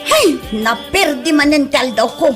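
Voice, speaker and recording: A woman's voice: sits high, animated, a little slow, music in the background. A woman playing a grumpy mother who complains and nags in exasperation, in an Ilocano comedy drama. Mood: irritated. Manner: exasperated, nagging, swooping. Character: grumpy, complaining mother irritated by noisy neighbours.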